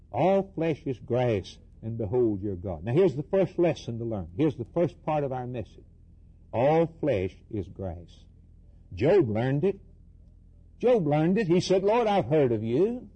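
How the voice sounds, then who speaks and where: A man's voice: mid-range voice, warm tone, husky. A man preaching a fervent Bible sermon, emphatic and repetitive, using rhetorical questions, short declarative bursts and dramatic delivery of scripture. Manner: dramatically voices quoted scripture and dialogue.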